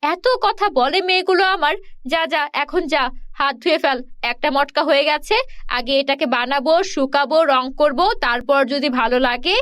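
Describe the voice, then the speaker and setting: A woman's voice: sits high, steady tone, normal speed, fairly bright tone, dry recording, studio quality. A woman playing a poor, proud village mother in a cartoon, firm and chiding but caring.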